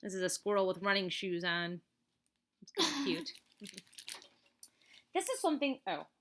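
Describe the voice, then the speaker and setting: A woman reading a children's book aloud as a teacher, in an expressive, chatty way with casual asides. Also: mid-range voice, normal speed, a little hiss or hum. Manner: expressive, chatty, emphatic on list items.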